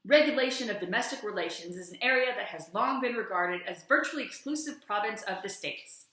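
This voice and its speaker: A woman's voice: medium pitch, pushed and bright. A woman in her thirties giving a theatrical, emphatic dramatic reading of formal legal text into a camera microphone at home. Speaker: host of a video channel, reader. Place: room at home beside a stairwell, indoors. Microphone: camera or laptop microphone at about arm's length. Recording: very echoey home room.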